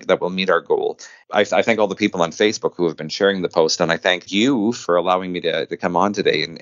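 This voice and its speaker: Male interviewee, deep register, enthusiastic and effusive, slightly lo-fi sound. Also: a bit bright, dry recording. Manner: effusive, repeats phrases for emphasis. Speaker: podcast guest, filmmaker and actor.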